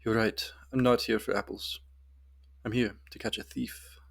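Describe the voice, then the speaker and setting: A man's voice: lowish voice, little pitch movement, moderate pace, gravelly. A man voicing a guarded, terse female wilderness ranger in a fantasy story, curt and stubborn.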